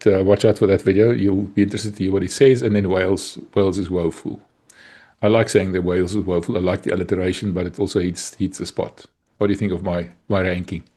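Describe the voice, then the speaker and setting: A man speaking English with a clear Afrikaans accent. His voice sits low and has a husky edge, and he chats in a relaxed, teasing way. He sounds a touch tired, as if it is late at night. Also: fast. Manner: easygoing, teasing, thinks aloud. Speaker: podcast co-host. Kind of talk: casual chat.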